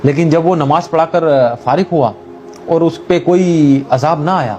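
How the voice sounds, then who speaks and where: Male storyteller, fairly high voice, colloquial religious moral tales, conversational delivery, light music bed. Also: a little slow, a little mellow. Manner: conversational, colloquial with everyday English words mixed in. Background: background music.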